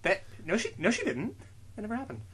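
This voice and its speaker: Guy cracking up reading dumb fanfic on a podcast, bright voice bouncing all over. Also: sits high, quick pace, husky. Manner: giggly, animated, frequently breaks into laughter. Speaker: podcast co-host. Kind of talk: comedic dramatic reading.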